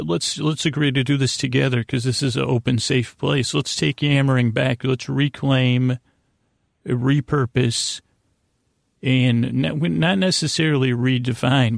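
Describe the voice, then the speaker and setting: A man hosting a bedtime podcast in a creaky voice, rambling in a gently silly, halting way that meanders and circles back. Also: mid-range voice, no room sound, clean studio sound. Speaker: podcast host. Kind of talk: rambling bedtime monologue to aid sleep.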